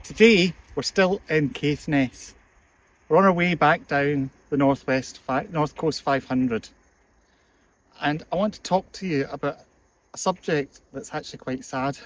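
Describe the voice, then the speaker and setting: A man hosting a travel and history vlog who opens the episode in a lively, inviting way. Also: on the high side, measured pace, a little hiss or hum, low-quality recording. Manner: lively, inviting host patter. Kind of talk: upbeat on-location intro.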